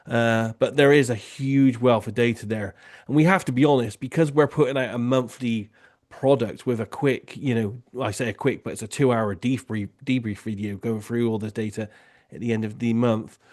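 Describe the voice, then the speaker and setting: A man's voice: medium pitch, steady tone, normal speed, a little mellow. A man hosting an online question-and-answer session, talking loosely with many "you know" fillers. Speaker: remote viewing tasker and session host. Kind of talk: casual online Q&A discussion.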